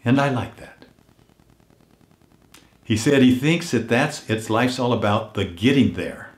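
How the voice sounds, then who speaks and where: An older man hosting a weekly motivational message, earnest and unhurried, his voice a little hoarse as if he is losing it, often dropping to a hush on heartfelt points. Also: medium pitch, lively intonation, roomy sound. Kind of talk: reflective motivational talk.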